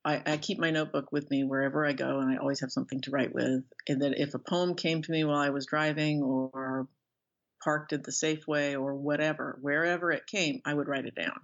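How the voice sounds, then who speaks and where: A middle-aged American woman being interviewed as an author, speaking thoughtfully and haltingly as she searches for words. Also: sits low, fairly even intonation, dull audio. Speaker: interview guest, author and professor. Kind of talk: reflective interview conversation.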